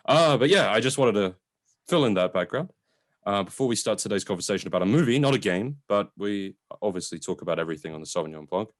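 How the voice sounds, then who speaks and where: Low-pitched man in casual review chat. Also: animated, fast, dry recording, studio quality. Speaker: podcast host.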